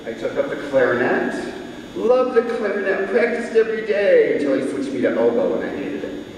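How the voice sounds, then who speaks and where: A man's voice: sits high, big pitch swings, fairly quick, a little mellow, a little hiss or hum. A man, a singer and composer, talking chattily and modestly to a live audience between songs. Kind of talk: live concert talk and singing. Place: performance hall. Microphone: room mic at a distance. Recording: echoey hall, voice from far off. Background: instrument music.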